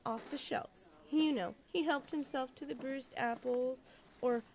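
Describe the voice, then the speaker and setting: Woman playing an earnest, high-voiced store clerk. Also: fairly even intonation, a little slow, darkish tone. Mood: confessing. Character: earnest, confused young female store clerk. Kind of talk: audio drama acting.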